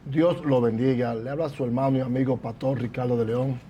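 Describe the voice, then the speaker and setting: A man's voice: medium pitch, fairly even intonation, a little fast, lo-fi sound, over music. A man who is a pastor hosting a church testimony program, speaking in a formal, preacherly way. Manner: preacherly, formal, interjects praise. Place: church building.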